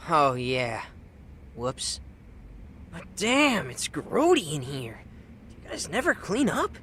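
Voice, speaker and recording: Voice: medium pitch, wildly swooping pitch, takes it slowly, fairly bright tone, slight background noise, exaggerated voice. An actor playing a cheeky but earnest teenage boy in a video game dub, talking in offhand, youthful slang. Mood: cheeky, dismissive.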